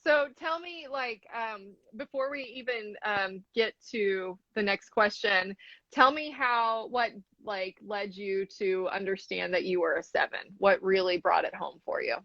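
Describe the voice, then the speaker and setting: A woman hosting an interview over a video call, friendly and unhurried as she asks questions. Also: mid-range voice, fairly bright tone, dry recording. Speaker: interview host. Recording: dry, dull video-call audio.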